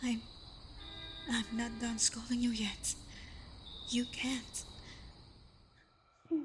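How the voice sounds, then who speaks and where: A woman acting a protective, scolding but tender vampire girlfriend in an intimate ASMR roleplay, hushed and often slipping into breathy whispers. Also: a little high, flat delivery, drawn-out words, a little hiss or hum. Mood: panicked, pleading.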